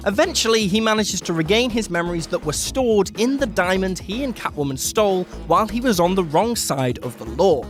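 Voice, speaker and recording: Countdown video presenter, very lively mid-pitched delivery, scripted and emphatic, cheeky asides, music underneath. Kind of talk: scripted narration of a countdown list.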